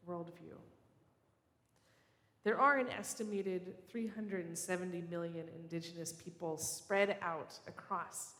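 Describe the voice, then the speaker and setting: A preacher giving an earnest, measured and personal sermon in a church sanctuary, speaking as a guest. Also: female voice, lowish voice. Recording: echoey church hall.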